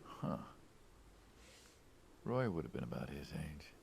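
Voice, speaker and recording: Deep, gravelly American middle-aged mobster. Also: male voice, sing-song, slow pace, a little mellow, slight background noise, full character voice. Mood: reflective, easygoing. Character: middle-aged male mobster, friendly, inquisitive, wryly skeptical. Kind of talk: naturalistic video game dialogue.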